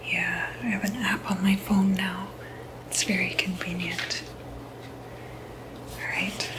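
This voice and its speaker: A woman whispering in a breathy, gentle, hesitant way, playing a soft-spoken clinic receptionist in an ASMR medical roleplay. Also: mid-range voice, level tone, slow pace, noisy background.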